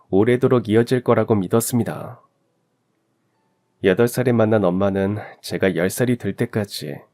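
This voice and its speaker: A man's voice: fairly low pitch, darkish tone. A man reading a scripted first-person story in the style of a radio drama. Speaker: narrator of a story channel.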